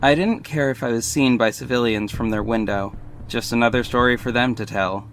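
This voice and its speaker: A man's voice: medium pitch, steady tone, fairly quick, a bit bright, a little hiss or hum. A man giving scripted first-person narration as the narrator of an audio drama. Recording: music behind the voice.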